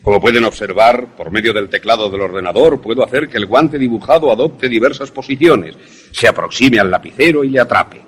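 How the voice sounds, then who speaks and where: A man acting an enthusiastic professor in a radio drama, lecturing in a deliberate, didactic, theatrical way. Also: mid-range voice, breathy edge, close to an everyday voice. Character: enthusiastic male professor demonstrating an invention to students.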